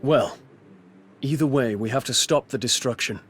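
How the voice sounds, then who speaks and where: A man's voice: mid-range voice, moderate pace, slight background noise, lightly acted. A man playing a direct, plain-spoken warrior companion in a video game, informal and concerned for others. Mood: focused. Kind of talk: video game cutscene acting.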